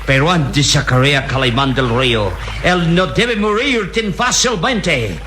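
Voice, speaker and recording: A man's voice: on the high side, lively intonation, a little slow, bright, forceful tone, a little hiss or hum. A man playing a cruel, vengeful captain in an old radio drama, theatrical and gloating. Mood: vengeful menace.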